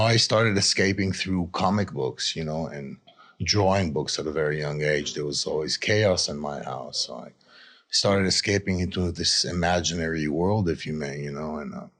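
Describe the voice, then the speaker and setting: Male interview guest from Israel, very low pitch. Addiction coach telling personal stories in a halting, reflective way. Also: a bit bright. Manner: halting and reflective, often adds "you know". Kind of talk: candid personal storytelling in an interview.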